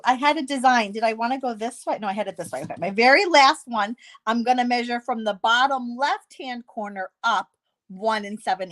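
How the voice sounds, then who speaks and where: A woman hosting a casual card-making tutorial, chatty and self-deprecating, slightly hoarse with a stuffy nose. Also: fairly high pitch, fairly bright tone.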